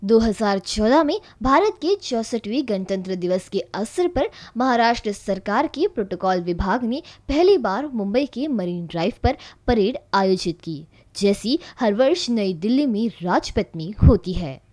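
A woman's voice: medium pitch, big pitch swings, measured pace, hardly any pauses, a little mellow. A woman reading a scripted news voice-over narration.

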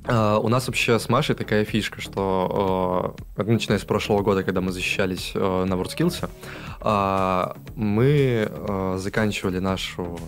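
A man in his thirties, a guest in a studio interview, explaining his tourism project with many pauses and fillers. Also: fairly low pitch, fairly even intonation. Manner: explanatory, with frequent hesitations. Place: radio studio. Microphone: studio mic on stand.

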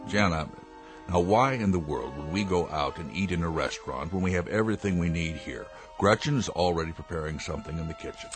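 A man's voice: sits low, fairly quick, over music, natural voice. An actor playing a stern, fussy, controlling scientist father in an audio drama, speaking formally and precisely. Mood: dismissive, scornful. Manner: formal, authoritative, precise wording.

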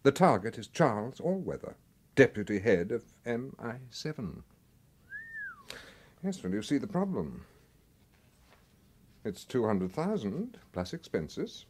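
An actor playing a sly, clubby British intelligence officer in a radio crime drama, hesitant and insinuating. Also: male voice, medium pitch, very animated, unhurried, pauses often, darkish tone, slight background noise. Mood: sly, persuasive. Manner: hesitant, insinuating, repeats himself.

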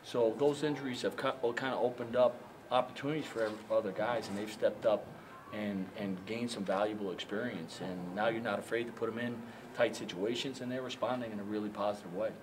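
Halting older male coach, noisy room. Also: on the low side, steady tone. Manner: halting, repeats words, many fillers. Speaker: head basketball coach. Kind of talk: postgame press conference. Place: press room in front of a sponsor backdrop. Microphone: handheld mics held up by reporters.

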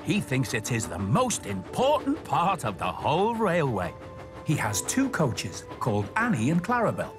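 A middle-aged man presenting and telling stories to children as a station master, expressive and clearly enunciated. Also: fairly high pitch, moderate pace, music in the background.

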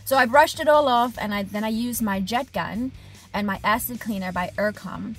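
A woman's voice: on the high side, moderate pace, faint music behind. A woman in her thirties, an HVAC technician, talking casually and expressively to camera from the cab of her truck. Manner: animated, expressive, gesturing with hands. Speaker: HVAC technician and business owner. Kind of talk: casual vlog diary. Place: inside a parked truck cab. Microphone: camera on dashboard at arm's length.

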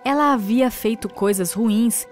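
Slow woman narrating a children's story. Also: a little high. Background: background music.

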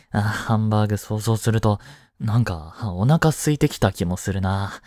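A man's voice: lowish voice, measured pace, a little mellow, no room sound, studio quality, natural voice. A man playing a flustered teenage boy jealous over his childhood friend in a romantic voice drama, boyish and hesitant. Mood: distracted, brooding. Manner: boyish, hesitant, muttering to himself. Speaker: amateur voice-drama actor. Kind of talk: Japanese romantic voice drama acting.

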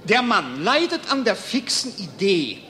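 An actor playing a pompous, excitable psychiatrist lecturing colleagues in a theatrical old German radio play style. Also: male voice, high voice, heavily acted. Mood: scandalised, mocking. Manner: theatrical, declamatory, with drawn-out emphasis. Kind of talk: mid-20th-century German radio play acting. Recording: old radio recording, hall reverb, hiss.

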